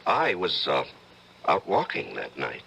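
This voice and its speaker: A brooding husband with a dark secret in an old-time radio drama. His low, gravelly voice swings enormously in pitch as he broods theatrically. There is light noise on the recording. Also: wildly swooping pitch, unhurried, heavily acted, rough recording. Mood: darkly taunting. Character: brooding, tormented husband, writer who may be a killer.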